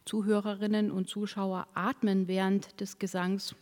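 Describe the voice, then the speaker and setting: A woman giving a formal academic lecture, reading in a measured way and occasionally correcting herself mid-phrase. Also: mid-range voice, little pitch movement, darkish tone. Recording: long reverb in a hall.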